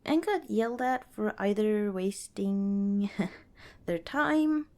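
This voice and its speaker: A woman playing a friendly, protective young wanderer in an ASMR roleplay, chatting casually and gently with hesitant pauses and small chuckles. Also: medium pitch, lively intonation. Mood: chatty, wistful. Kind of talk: ASMR roleplay, casual one-sided dialogue.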